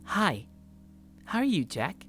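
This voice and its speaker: A coy young yandere woman from a visual novel, played by a man, in a raised, light pitch. She sounds friendly on the surface but keeps probing and insisting, over soft music. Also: sing-song, slow pace, exaggerated voice. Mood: coy, insistent.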